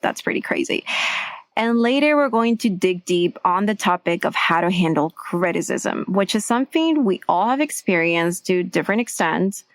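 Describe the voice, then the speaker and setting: A woman's voice: fairly low pitch, expressive, normal speed, no room sound. Effusive woman podcast host, dry sound. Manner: effusive, enthusiastic reactions. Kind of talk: podcast interview.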